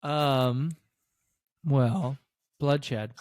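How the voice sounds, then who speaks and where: A man's voice: mid-range voice, extremely slow, a little mellow, dry recording. Joking man with a dry close sound. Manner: joking, slips into mocking voices. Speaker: podcast co-host. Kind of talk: casual chat.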